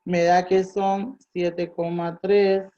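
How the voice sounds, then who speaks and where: A woman's voice: fairly low pitch, flat delivery, slow, dark tone. A woman tutoring chemistry, patiently working through calculations step by step and thinking aloud. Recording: dull lo-fi sound.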